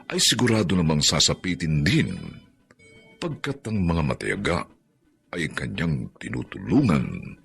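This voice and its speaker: A man's voice: rumbling low, sing-song, measured pace, creaky, fairly bright tone. A man with a Filipino accent giving solemn, dramatic, poetic narration for a radio drama. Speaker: narrator, host. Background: faint music.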